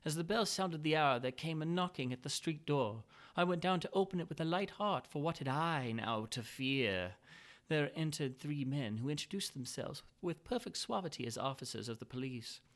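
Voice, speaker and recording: A man narrating a gothic tale as a dramatic reading, moving between hushed whispers and frantic, feverish shouting. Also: fairly high pitch, expressive, normal speed. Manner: feverish, building intensity.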